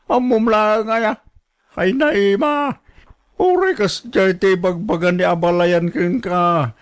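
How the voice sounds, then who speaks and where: A scolding older Ilocano father in a radio drama. His voice is high for a man, and he nags emphatically and disbelievingly. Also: slow. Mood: incredulous scolding. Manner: nagging, emphatic.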